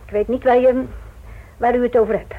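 A woman's voice: on the high side, steady tone, a little fast, lightly acted. An actress playing a guarded, curt village innkeeper woman in a Dutch radio play. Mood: defensive. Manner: curt, wary. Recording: muffled phone-like old radio recording.

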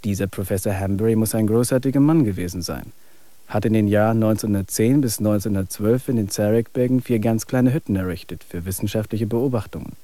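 An actor in a radio play as a wry, weary male traveler, speaking in an even, reflective way. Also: lowish voice, no room sound, natural voice. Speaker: main character.

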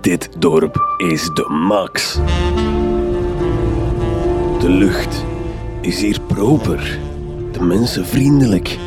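An actor with a Flemish accent playing a gruff, foul-mouthed, blustering detective in a radio drama. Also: male voice, sits low, animated, extremely slow, pauses often, loud music behind the voice, exaggerated voice. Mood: gruff enthusiasm.

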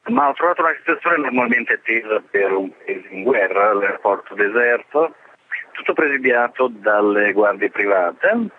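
A man gives a spontaneous eyewitness account in an interview, with halting, emphatic phrasing. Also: fairly low pitch, lively intonation, breathy edge. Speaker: journalist interviewed about his trip. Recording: noisy, muffled phone-line radio interview.

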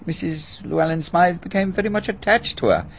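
An actor playing an elderly family solicitor who speaks in a measured, formal, slightly pompous way in a radio drama. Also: male voice, sits high, gravelly, a little hiss or hum. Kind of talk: BBC radio drama acting. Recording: dull archival radio recording.

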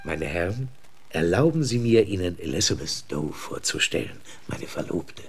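An actor playing a jovial, boastful rich American host in a radio play, effusive and given to chuckling. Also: male voice, sits low, lively intonation, moderate pace, slightly rough, faint music behind. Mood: proud, hearty.

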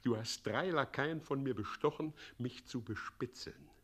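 An actor playing a scheming, pompous middle-aged president in a German radio play, swinging theatrically from commands to wheedling. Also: male voice, mid-range voice, measured pace, close to an everyday voice. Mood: slyly sweet. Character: scheming, pompous tyrant president, doting mockingly on his son.

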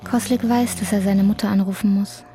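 Mellow, even-toned woman narrator. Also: medium pitch, brisk, soft background music. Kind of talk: scripted literary narration in a radio drama.

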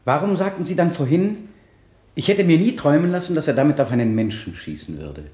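A man's voice: on the high side, sing-song, fairly quick, dark tone. A man playing a relentless prosecutor cross-examining a witness in a 1970s German radio drama. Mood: pointed, doubting. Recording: roomy radio play studio sound.

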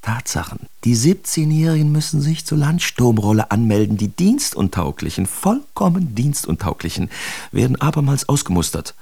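A man's voice: mid-range voice, sing-song, moderate pace, gravelly, dark tone, faint music behind. An actor playing a bitter, sardonic young German artist reading his letters in a radio drama, theatrical and sharply emphatic. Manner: theatrical, sarcastic, emphatic enunciation. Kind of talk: literary radio drama monologue, letters read aloud.

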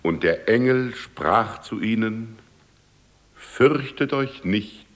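An actor playing a solemn reciter who proclaims scripture slowly in a radio drama. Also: male voice, fairly low pitch, animated. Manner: slow, proclaiming. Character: solemn male reciter of the Christmas gospel.

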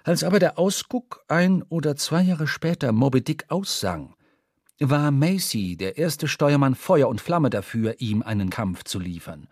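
A man narrating a literary radio drama from a script, storytelling in measured, formal diction. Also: medium pitch, animated, a little mellow, husky, dry recording, clean studio sound. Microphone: close studio microphone.

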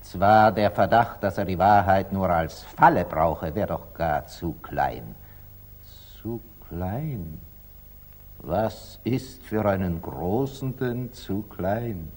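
Careful wise old-radio merchant, 1940s german drama, slow and pondering, husky, humble but dignified. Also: male voice, low voice, animated, a little mellow, full character voice. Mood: pondering, wary. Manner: measured, pondering, guarded.

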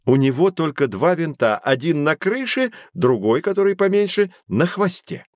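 A man's voice: a little high, big pitch swings, moderate pace, no room sound, clean studio sound. A man playing a learned, patient guide who explains things to children in formal, didactic phrases in an educational audio drama. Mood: explanatory.

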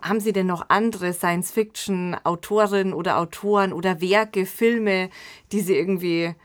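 A woman's voice: on the low side, steady tone, normal speed, dry recording, clean studio sound. A woman hosting a podcast, speaking to her guest and listeners. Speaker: podcast host. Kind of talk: interviewing and announcing. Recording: clearly audible background noise.

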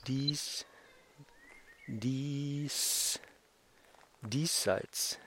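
A man performing fragmentary spoken word outdoors, naming things in deliberate single words and repetitions. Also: medium pitch, a bit bright. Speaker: performer in a walking sound piece. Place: outdoors on a forest path. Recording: faint outdoor noise and clicks.

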